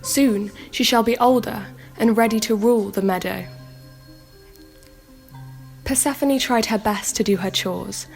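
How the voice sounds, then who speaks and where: An actress playing a pensive young daughter in a radio drama, telling dark fairy tales in a measured, lyrical way. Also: female voice, fairly high pitch, creaky, soft background music. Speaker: actor in a radio play. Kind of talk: radio drama acting, storybook narration.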